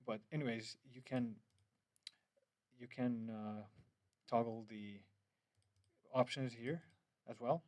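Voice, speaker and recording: A man's voice: medium pitch, fairly even intonation, slow, pauses often, darkish tone, dry recording. Hesitant man. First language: Finnish. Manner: hesitant, many fillers and restarts, loose phrasing. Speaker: app developer. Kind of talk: screen-recorded app demo.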